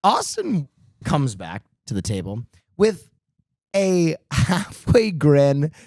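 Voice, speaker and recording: Male co-host, animated and playful, bit high. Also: takes it slowly, no echo, studio quality. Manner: playful, interjecting, storytelling. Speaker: podcast co-host. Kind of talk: casual chat.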